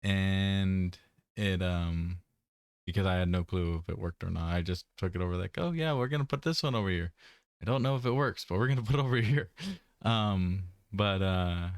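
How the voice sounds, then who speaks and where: A man's voice: deep voice, normal speed, clear, resonant tone, no echo, studio quality. A man giving a casual personal update, rambling and hesitant, often trailing off mid-thought. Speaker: vlogger.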